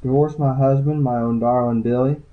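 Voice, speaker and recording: A man voicing a tired, devout young woman who sees the future, speaking softly and earnestly. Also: medium pitch, steady tone, moderate pace, pitched above the performer's own voice, lightly acted. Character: weary sniffling young woman seeress, devout. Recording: tinny phone-like audiobook.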